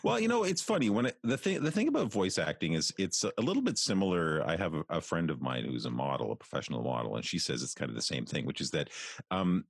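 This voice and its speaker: A Canadian man who works as a voice actor, talking casually in an interview with a deep, resonant voice. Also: quick pace, vocal fry, dry recording, studio quality. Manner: relaxed, chatty, with frequent restarts. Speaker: voice actor guest.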